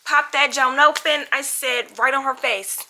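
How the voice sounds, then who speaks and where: A woman's voice: high-pitched, fairly even intonation, a little slow, sharp tone, a little hiss or hum. A young American woman telling a casual storytime in a dramatic, slangy way, acting out the dialogue as she goes. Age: young adult. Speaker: storytime vlogger.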